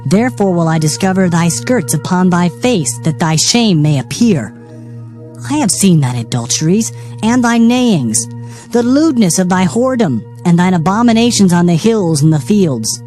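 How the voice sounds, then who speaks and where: A woman's voice: fairly low pitch, expressive, normal speed, warm tone, soft background music. A woman narrating dramatized scripture in a solemn, declamatory manner. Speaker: Bible narrator.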